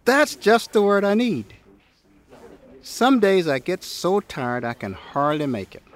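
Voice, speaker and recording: Man as weary friendly neighbor man, storybook, folksy, slow, animated pitch, reflective. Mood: weary resolve. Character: weary, good-natured neighborhood man, humbly earnest. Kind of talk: children's storybook read aloud.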